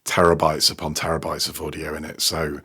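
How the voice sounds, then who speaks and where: A man chatting casually as a podcast guest, haltingly, repeating words as he goes. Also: rumbling low, monotone, pushed and bright, breathy edge. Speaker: podcast guest, sound designer. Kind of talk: casual interview chat.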